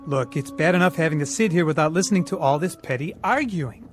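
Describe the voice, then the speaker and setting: Older man, acted, higher-pitched, indignant, stubborn, radio drama, faint musical underscore. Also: lively intonation, fast, faint music behind, natural voice. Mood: exasperated.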